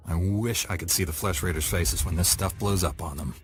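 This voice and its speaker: A man's voice: deep voice, fairly quick, close to an everyday voice. A man voicing a young, action-minded Jedi knight who speaks directly and dryly, in a studio video game cutscene. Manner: direct, dry. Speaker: Jedi apprentice. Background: orchestral game music.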